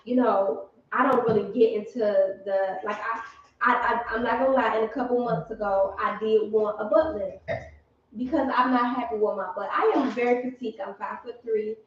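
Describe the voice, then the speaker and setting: A woman's voice: a little high, fairly even intonation, normal speed, slight background noise. A woman in her late thirties co-hosting a podcast, talking candidly in casual chat and laughing easily. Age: 30s. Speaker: podcast co-host. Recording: echoey room, distant mic, dull sound.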